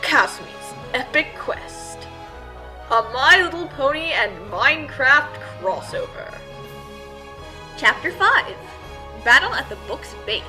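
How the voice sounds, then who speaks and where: A woman's voice: high voice, big pitch swings, slow. A woman narrating a scripted comic fan fiction tale in a theatrical, mock-epic manner, with music behind her.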